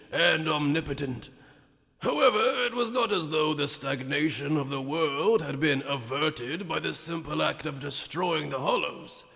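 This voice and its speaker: A man voicing an ancient, grandiose sage in an anime fan dub, telling lore in a measured, portentous and theatrical way. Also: fairly high pitch, creaky, a bit bright, full character voice. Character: ancient, grandiose male sage recounting the world's creation. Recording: long hall-like reverb.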